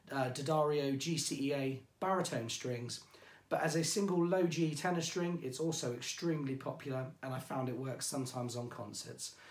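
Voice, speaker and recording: An English man presenting ukulele strings in a chatty, informal way, his voice going hoarse. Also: on the high side, darkish tone, echoey room. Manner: chatty, informal, self-deprecating. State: losing his voice. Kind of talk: product demonstration.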